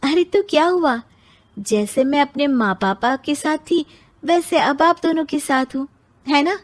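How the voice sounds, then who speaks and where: A woman voicing a gentle, dutiful young village bride in a cartoon story, sweet and reassuring. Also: high voice, a little slow, pitched above the performer's own voice. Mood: cheerful, comforting.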